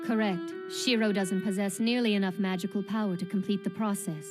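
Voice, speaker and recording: Woman acting a stern young female knight, mid-pitched. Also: steady tone, fairly quick, natural voice. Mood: solemn, dutiful. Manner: solemn, formal, resolute. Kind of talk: English anime dub acting. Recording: very loud music behind dialogue. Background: very loud music.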